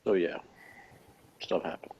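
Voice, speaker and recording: A man's voice: unhurried, darkish tone, lo-fi sound, slight background noise. A man chatting informally as he co-hosts a TV review, talking in a hesitant, rambling way. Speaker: co-host of a TV review show. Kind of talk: casual review chat.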